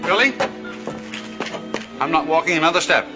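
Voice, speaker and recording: An actor playing a nervous, fussy associate who is easily alarmed and exasperated, in an old western TV drama. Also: male voice, a little high, lively intonation, normal speed, dull audio, natural voice. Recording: old TV soundtrack with music.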